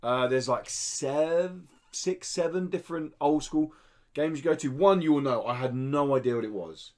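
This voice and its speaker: A man's voice: fairly high pitch, moderate pace, a little mellow. Enthusiastic man chatting on a podcast. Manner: enthusiastic, rambling, informal. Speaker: podcast co-host. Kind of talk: casual gaming podcast chat.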